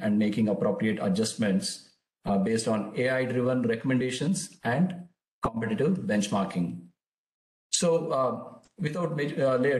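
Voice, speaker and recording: Brief: man, webinar moderator on a video call, halting with lots of fillers, roomy echo. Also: lowish voice, darkish tone. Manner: hesitant, many "uh" fillers. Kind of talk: webinar introduction. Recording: echoey room over a call.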